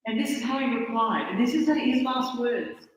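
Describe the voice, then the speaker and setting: An Australian woman gives a chatty conference talk, often halting and restarting mid-sentence. Her voice sits a bit higher than most, and it echoes heavily around a large hall from a mic set well back. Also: far from the mic. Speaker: conference speaker.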